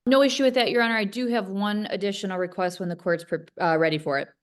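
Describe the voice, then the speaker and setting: A woman's voice: mid-range voice, fairly even intonation, fast talker. A middle-aged woman speaking as a lawyer, polite and formal, on a video call. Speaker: lawyer in a family court hearing. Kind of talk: polite formal courtroom talk. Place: bright indoor room with windows, on a video call.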